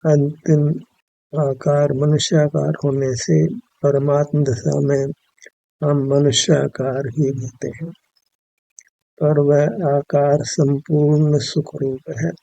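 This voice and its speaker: A man leading a guided meditation with spiritual teaching, speaking in measured, formal, instructive phrases. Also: fairly high pitch, flat delivery, vocal fry, warm tone, dry recording, rough recording.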